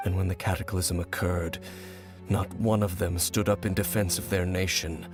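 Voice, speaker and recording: Male actor as a grave mysterious wanderer for a video game; low, solemn, measured, faint music bed. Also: level tone, lightly acted.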